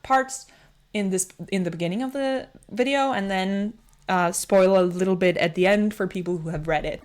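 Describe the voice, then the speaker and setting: A woman with a Danish accent giving a casual spoken book review, enthusiastic and rambling, often restarting her sentences. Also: mid-range voice.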